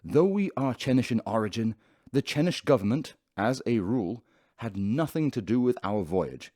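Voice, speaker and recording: A man's voice: medium pitch, big pitch swings, normal speed, dark tone, no room sound, clean studio sound. An actor playing a pedantic male professor giving a formal, declamatory lecture in a sci-fi radio drama. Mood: pompous, stern.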